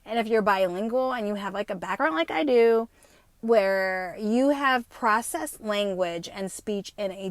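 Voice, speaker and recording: Fast, foul-mouthed woman in her fifties. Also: a little high, no room sound. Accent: New Jersey, at times. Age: 50s. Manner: fast-talking, profane and rambling; emphatic, with frequent tag questions. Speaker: podcast host. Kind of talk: unscripted podcast monologue.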